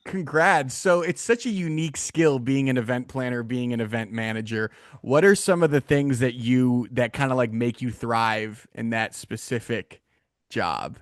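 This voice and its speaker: A man's voice: mid-range voice, moderate pace, no room sound. Casual male podcast host, dry close sound. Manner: informal, joking, slangy. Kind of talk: casual interview chat.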